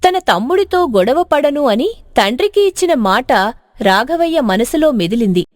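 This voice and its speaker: A woman's voice: sits high, sing-song, normal speed, no echo, studio quality. A woman narrating a scripted moral story as a storyteller.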